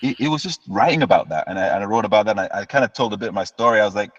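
A man, telling his story as an interview guest in a relaxed way and often repeating words as he restarts. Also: fairly low pitch, very fast, breathy edge. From United Kingdom. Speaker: guest musician. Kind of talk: casual interview chat. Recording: dull lo-fi sound with long reverb.

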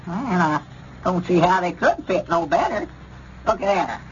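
An actor playing a gullible old country storekeeper with a rural Southern American accent, rambling and repeating himself in 1930s radio comedy style. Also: male voice, high voice, animated, unhurried. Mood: stubborn, complaining. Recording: dull, noisy 1930s radio recording.

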